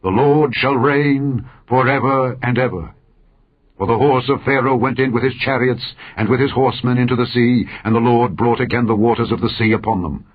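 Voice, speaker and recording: A man narrating an audio Bible in a solemn, measured, declamatory style, phrase by phrase. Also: medium pitch, highs cut off. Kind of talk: solemn scripture reading.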